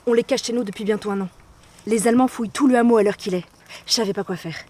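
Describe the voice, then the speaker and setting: A woman's voice: medium pitch, fast talker, warm tone, natural voice. A young woman playing a resolute, anxious Resistance courier in a radio drama, speaking urgently and earnestly.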